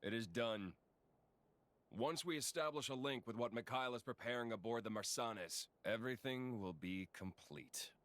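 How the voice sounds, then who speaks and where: An actor playing a menacing, sneering villain in a video game, taunting and gloating theatrically. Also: male voice, moderate pace, dry recording, close to an everyday voice. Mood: cold contempt. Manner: taunting, mocking, swinging from low drawl to shouts. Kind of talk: dramatic video game voice acting.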